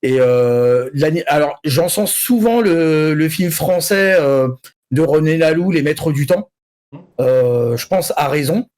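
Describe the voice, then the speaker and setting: A man's voice: on the high side, lively intonation, slow pace, a little mellow. A man chatting casually about anime news as a panel commentator, often restarting his phrases in a hesitant way. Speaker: panel commentator on a geek culture show.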